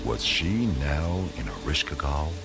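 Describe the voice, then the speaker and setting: A man's voice: extremely low pitch, big pitch swings, measured pace, creaky, a bit bright, soft background music, full character voice. A man narrating a radio play from a script in a portentous, atmospheric storytelling manner.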